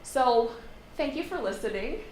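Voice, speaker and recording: A woman speaker closing her talk and taking audience questions in a relaxed, brief way. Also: a little high, a little slow. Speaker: seminar speaker. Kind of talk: academic talk and Q&A. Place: seminar room. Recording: echoey room with noise.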